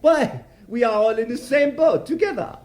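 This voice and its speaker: High-voiced, florid, gloating bourgeois villain. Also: male voice, animated, normal speed, darkish tone. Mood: taunting, impassioned. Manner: florid, sardonic, declamatory, gloating. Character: brazen necrophile murderer, self-righteous bourgeois man in court. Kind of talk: theatrical radio drama monologue.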